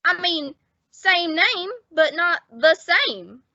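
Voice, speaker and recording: A woman's voice: extremely high pitch, animated, slow pace, bright, forceful tone, no room sound, muffled recording, heavily acted. A woman playing an eager, earnest, slightly stammering young bellboy in an old-time radio comedy. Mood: puzzled, excited.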